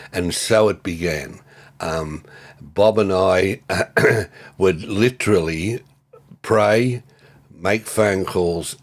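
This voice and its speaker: Older aussie guy, low voice, halting tribute talk, lots of ums. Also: breathy edge. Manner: halting, many fillers and restarts. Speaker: former ministry co-worker. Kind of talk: reflective tribute.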